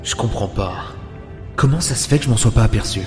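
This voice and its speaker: A man's voice: lowish voice, quick pace, natural voice. A man playing an impatient, sardonic loner adventurer in an audio adventure drama, grumbling his lines. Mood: puzzled, dry. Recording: loud music behind the voice.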